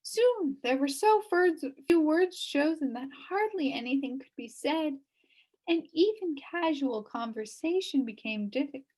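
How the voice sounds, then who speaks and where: Woman reading as a slow, wistful old lady. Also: high-pitched, animated, a little mellow, low-quality recording. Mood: regretful. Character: sad, wistful elderly woman telling her downfall. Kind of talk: storybook character reading.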